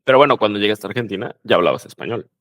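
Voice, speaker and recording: A man who hosts a casual interview, relaxed and full of fillers and short replies. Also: fairly low pitch, rapid-fire, dry recording. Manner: warm backchannels, relaxed filler-heavy talk. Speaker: host, language coach.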